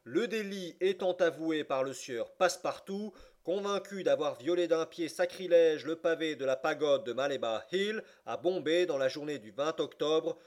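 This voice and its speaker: A man voicing a stern, formal judge in an audiobook, solemn, declamatory and officious. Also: on the high side. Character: stern, formal male judge pronouncing a sentence. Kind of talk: audiobook character voice.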